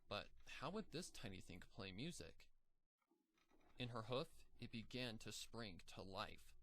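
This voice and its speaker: A man narrating a fan story aloud at a steady, even storytelling pace. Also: lowish voice, unhurried, a little hiss or hum.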